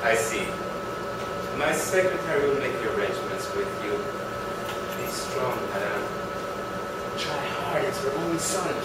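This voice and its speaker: A man's voice: medium pitch, expressive, measured pace, long pauses, close to an everyday voice. A man acting a pompous, scornful undertaker and businessman in a staged play reading, declaiming with bombast and sarcasm. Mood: solemn, consoling. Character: pompous, scornful, grandiose cut-rate undertaker and businessman husband. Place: live reading in an echoey performance room. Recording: roomy, distant, noisy live theatre recording.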